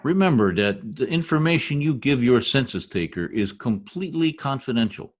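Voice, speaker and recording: A man's voice: mid-range voice, animated, moderate pace, faint music behind, close to an everyday voice. A man playing a blustery, slangy middle-aged husband in a recreated 1950s radio comedy. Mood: earnest announcing. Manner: folksy slang, dropped grammar, exclaiming.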